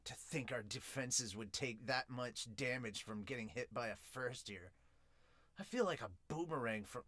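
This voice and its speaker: A brooding young male ballplayer read by a manga dub podcaster in a low, subdued voice. He sounds regretful and hard on himself, in a close, dry recording. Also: fairly bright tone, no echo, close to an everyday voice. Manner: low, subdued, self-reproachful.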